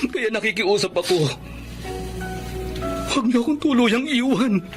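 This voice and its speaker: An actor playing a humbled, remorseful husband, speaking earnestly in a Filipino radio drama. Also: male voice, very high-pitched, animated, measured pace, over loud music. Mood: pleading, remorseful. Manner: earnest, imploring. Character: remorseful, humbled husband pleading with his estranged wife.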